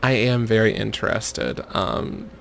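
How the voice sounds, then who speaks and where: A man commentating over gameplay in a mostly monotone voice, muttering urgings at the screen. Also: on the low side, unhurried, creaky. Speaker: gaming video creator.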